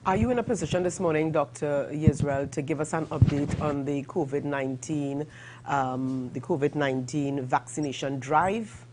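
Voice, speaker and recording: A woman hosting a morning show, putting questions in a halting, rephrasing way. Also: deep voice, background noise. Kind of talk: broadcast interview questioning.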